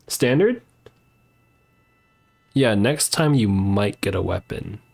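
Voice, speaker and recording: A man's voice: lowish voice, lively intonation, takes it slowly, vocal fry, a little mellow. A man chatting casually as he live-streams a video game. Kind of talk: casual live-stream chat, reading game dialogue aloud.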